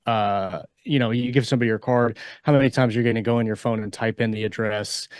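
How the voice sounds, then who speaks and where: A man co-hosting a casual author podcast, giving advice in a halting way as he repeats and rephrases his points. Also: mid-range voice, steady tone, no echo, clean studio sound.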